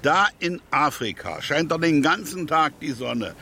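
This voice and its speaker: An actor playing a grumpy, stubborn, self-righteous local fixer in a radio crime drama, with a gruff, grumbling manner. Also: male voice, fairly high pitch, sing-song, unhurried, bright, forceful tone, a little hiss or hum, exaggerated voice. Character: grumpy xenophobic German local man, stubborn small-time fixer.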